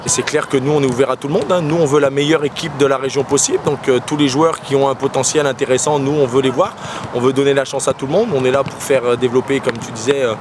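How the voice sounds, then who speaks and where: Fast-talking coach, noisy outdoors. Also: male voice, medium pitch, fairly even intonation. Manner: rapid, full of restarts and fillers. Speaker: soccer coach. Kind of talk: outdoor interview.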